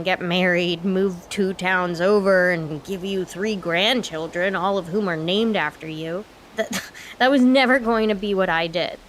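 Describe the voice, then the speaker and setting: A woman's voice: medium pitch, fairly bright tone, slight background noise, natural voice, poor audio. A young woman playing a strong-willed, frustrated grown daughter in a naturalistic comedic radio play, emphatic and argumentative. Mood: earnest, confessional.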